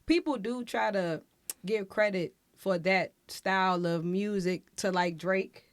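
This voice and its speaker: A woman's voice: medium pitch, unhurried, dry recording. Chatty woman hosting an interview. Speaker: podcast host. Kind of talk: casual interview chat.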